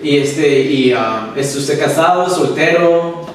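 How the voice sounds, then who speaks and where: A young adult man interviewing a pastor, upbeat, with quick reactions and English words slipped in. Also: on the high side, a little hiss or hum. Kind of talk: casual interview questions. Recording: echoey room, far from the mic.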